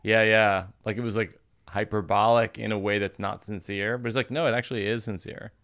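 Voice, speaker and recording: A man's voice: fairly low pitch, steady tone, brisk, a bit bright. A man hosting a casual comedic interview podcast, teasing his guest in a dry, mock-serious way. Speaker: podcast host.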